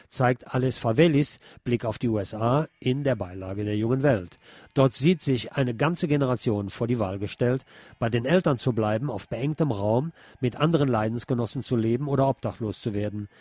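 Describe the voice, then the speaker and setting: A man's voice: mid-range voice, moderate pace, a little mellow, dry recording. Man reading scripted radio commentary. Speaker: radio host.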